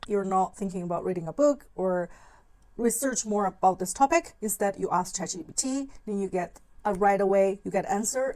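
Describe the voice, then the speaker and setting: A woman's voice: medium pitch, a little mellow, rough recording. A woman who speaks English as a second language, hosting a video essay in an informal, conversational way and acting out her own thoughts. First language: not English. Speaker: video channel host. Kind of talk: talking-to-camera video essay.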